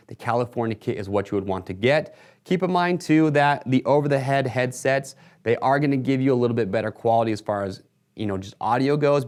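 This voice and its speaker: Male presenter, mid-pitched voice, casual explanatory sales patter, product demo style. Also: a little mellow. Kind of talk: product walkthrough for a retailer video.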